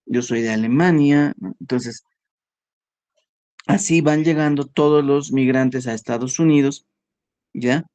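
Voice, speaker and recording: A man giving a philosophy workshop lecture, talking in a halting way and checking in with his listeners all the time. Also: mid-range voice, fairly even intonation, darkish tone. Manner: slow, halting, with frequent "¿no?" and "¿ya?" checks.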